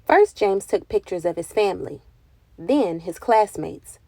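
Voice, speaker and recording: A woman reading a picture book aloud for children, with expressive, deliberate phrasing and dramatic emphasis. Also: medium pitch, no echo. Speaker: children's read-aloud narrator. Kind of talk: expressive storybook narration for children.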